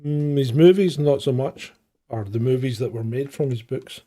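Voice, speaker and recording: A man's voice: medium pitch, moderate pace, dark tone. A man co-hosting a live podcast, chuckling and tossing in dry quips. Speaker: podcast co-host. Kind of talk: casual live chat.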